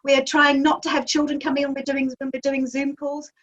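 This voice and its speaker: Nz lady in her 50s, high voice, chatty with lots of ums, far off dull call audio. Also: completely flat delivery, a little fast, fairly bright tone. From New Zealand. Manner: chatty and enthusiastic, with frequent ums. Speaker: magazine editor and publisher. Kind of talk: interview answers. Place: home office.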